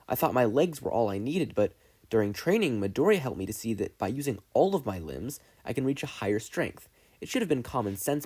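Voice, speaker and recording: An earnest, very formal teenage schoolboy acted by a man in an audio story. The voice is slightly husky and lively in pitch, with stiff, emphatic, declarative phrasing.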